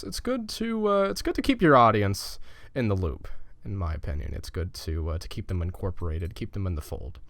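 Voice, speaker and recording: Blunt, chatty young gaming vlogger. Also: male voice, medium pitch, fairly bright tone, no echo. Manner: blunt, profane, loose and chatty with many fillers. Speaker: gaming YouTuber. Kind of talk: casual channel update vlog.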